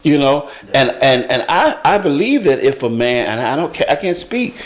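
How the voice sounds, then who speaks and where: A middle-aged man in a casual panel discussion, speaking haltingly and repeating his words as he thinks. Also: medium pitch, big pitch swings. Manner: halting, repeats words, often says "you know". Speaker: panelist on a talk show.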